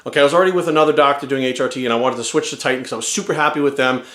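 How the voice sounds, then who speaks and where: A man in his forties giving an energetic, rambling testimonial to camera. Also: medium pitch, racing, roomy sound. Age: 40s.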